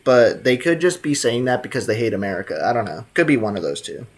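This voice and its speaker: A man's voice: medium pitch, big pitch swings, quick pace, breathy edge. Laid-back slangy young male streamer. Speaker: game streamer. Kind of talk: casual chat reading live chat.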